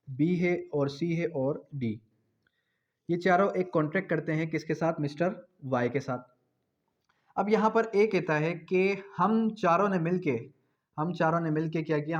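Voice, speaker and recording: A man's voice: a little high, lo-fi sound. A man with a Pakistani accent giving a law lecture, explaining didactically with repetition, asking questions and answering them himself, and dictating definitions.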